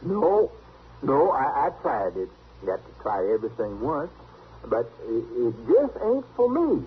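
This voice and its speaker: A man playing a simple, earnest, stubborn backwoodsman with a rural American accent and halting, folksy speech in an old-time radio western. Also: medium pitch, sing-song. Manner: halting, plain-spoken, folksy. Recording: tinny, hissy old radio recording.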